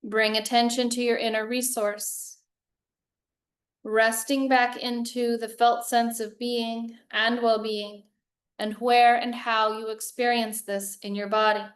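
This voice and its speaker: A woman guides a relaxation meditation in a fairly high voice. Her delivery is very slow and level, with little rise or fall. She gives calm, soothing instructions and leaves long pauses between phrases. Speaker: yoga nidra meditation teacher.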